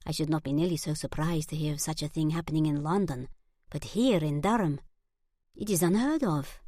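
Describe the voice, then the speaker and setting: A woman's voice: low voice, a little fast, warm tone, dry recording, studio quality. A woman voicing a poised, proper young lady in an audiobook, speaking with composure. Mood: composed.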